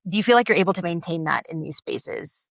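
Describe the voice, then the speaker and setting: A woman's voice: fairly low pitch, expressive, fast talker, gravelly, dry recording, studio quality. An American woman hosting a casual, conversational interview in an informal way, with the odd chuckle. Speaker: interview host.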